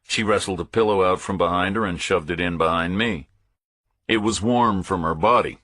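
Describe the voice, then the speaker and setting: Low, bright-voiced man narrating a thriller. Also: normal speed, breathy edge, no echo. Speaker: audiobook narrator. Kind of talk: scripted first-person thriller narration.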